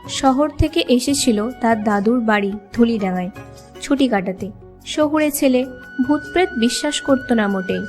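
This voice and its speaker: A woman narrates a scripted ghost story. Her voice sits high, and music runs clearly behind the narration. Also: animated, moderate pace, a little mellow.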